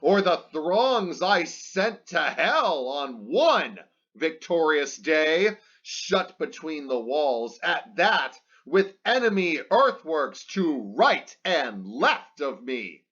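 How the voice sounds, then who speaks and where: Man performing scornful young warrior prince, epic reading. Animated, declamatory, emphatic delivery; dull lo-fi recording. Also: on the high side, slow pace, full character voice. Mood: furious defiance. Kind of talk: dramatic reading of epic verse.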